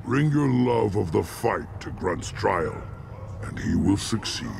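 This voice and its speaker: Gravelly, solemn alien warrior shaman. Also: on the low side, animated, noisy background, exaggerated voice. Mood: stern, approving. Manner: ceremonial, portentous, measured. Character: solemn, battle-loving male alien krogan shaman. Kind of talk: dramatic video game acting.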